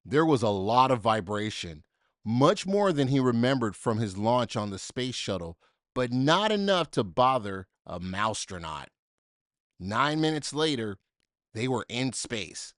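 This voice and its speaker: Animated man reading a children's storybook. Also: medium pitch, dry recording, studio quality. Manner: dramatic, deliberate phrasing with emphasis for young listeners. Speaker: storybook reader. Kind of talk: expressive children's story read-aloud.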